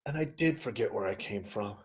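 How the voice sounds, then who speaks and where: Earnest, stubborn sketch-comedy son played by a man, deliberate and declamatory, full of ambition. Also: lowish voice, expressive, natural voice. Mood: reflective. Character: Laird, earnest, stubborn son with big dreams.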